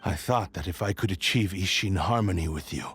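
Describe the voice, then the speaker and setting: An actor playing a proud, despairing sword spirit in a man's form in a video game, speaking gravely in formal, old-fashioned words. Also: low-pitched, a bit bright, slight background noise. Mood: grave, resigned. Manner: slow, grave, archaic formal speech.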